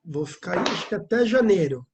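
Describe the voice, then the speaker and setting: A man's voice: high-pitched, lively intonation, a little fast, vocal fry, background noise. Cheerful man welcoming students on a call. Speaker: course teacher. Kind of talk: casual chat before an online class. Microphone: video call.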